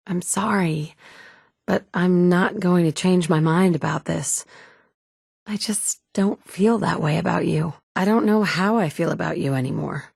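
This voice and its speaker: A woman's voice: lowish voice, gravelly, a little mellow, slightly rough, no room sound. An actress voicing a blunt, sarcastic woman in a game, a protective mother with a dry, no-nonsense manner. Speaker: player character. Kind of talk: video game dialogue.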